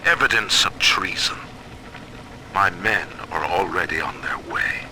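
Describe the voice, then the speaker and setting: A man's voice: deep voice, measured pace, bright, forceful tone, a little hiss or hum, heavily acted, poor audio. An actor playing a stern, imperious male ruler in a video game, speaking in a grandiose, oratorical way. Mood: accusing. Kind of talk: dramatic video game acting.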